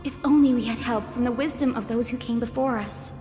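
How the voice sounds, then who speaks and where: A woman playing a gentle, thoughtful young scholar in a game, calm and explanatory. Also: on the high side, brisk, natural voice. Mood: encouraging. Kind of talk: game voice acting. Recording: reverberant, music behind.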